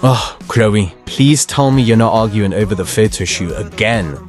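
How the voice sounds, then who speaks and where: A man's voice: lowish voice, animated, moderate pace, faint music behind, natural voice. A man playing a confident, wealthy young suitor with a casual, self-assured manner in an amateur game roleplay. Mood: exasperated. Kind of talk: amateur voiced roleplay acting.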